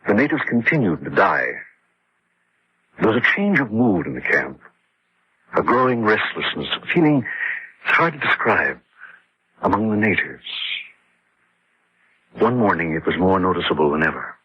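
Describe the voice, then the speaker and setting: Low, very raspy husband narrating radio horror, rationalizing everything, muffled old recording. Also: male voice, very animated, normal speed, pauses often, exaggerated voice. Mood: grim, reflective. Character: defensive rationalizing husband recounting a disaster camp horror. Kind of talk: dramatic first-person radio horror narration and dialogue.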